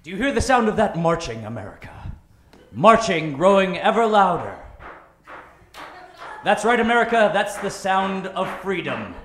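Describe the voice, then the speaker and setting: An actor playing a rousing, patriotic announcer in a parody of a 1940s radio advertisement, full of rhetorical flourishes. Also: male voice, big pitch swings, moderate pace, a little hiss or hum. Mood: stirring. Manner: booming rhetorical questions, stirring. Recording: echoey stage, faint music behind.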